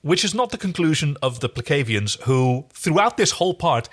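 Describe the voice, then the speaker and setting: A man's voice: on the high side, sing-song, normal speed, slightly rough. A man co-hosting a podcast, casually recapping an episode. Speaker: podcast co-host.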